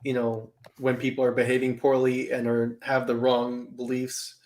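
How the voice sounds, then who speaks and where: A man in a casual group discussion presses his points with probing, challenging questions. His voice sits at an ordinary middle pitch. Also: monotone, normal speed, a little mellow. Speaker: podcast guest.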